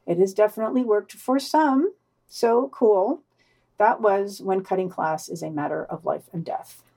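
A woman's voice: mid-range voice, measured pace, mellow. Wry woman reading a news roundup. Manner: wry, dry asides. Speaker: podcast co-host reading news. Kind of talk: casual news roundup with read-out quotes.

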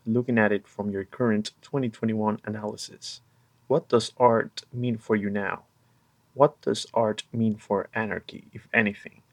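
Man hosting a discussion podcast. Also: on the low side. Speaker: podcast host and discussion moderator. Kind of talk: scripted reading, then casual discussion.

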